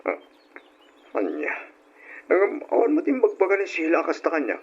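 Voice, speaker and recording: A man's voice: sits high, huge pitch swings, normal speed, slight background noise. A man playing a concerned, affectionate husband in a radio drama, solicitous and questioning, with a Filipino accent. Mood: surprised, questioning. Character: concerned, affectionate adult husband and dutiful son. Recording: dull, lo-fi old radio drama.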